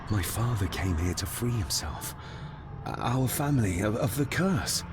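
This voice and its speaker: Brooding, low-voiced haunted heir. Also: male voice, animated, unhurried, over loud music. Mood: earnest, troubled. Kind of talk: video game voice acting. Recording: loud ambient rumble behind voice.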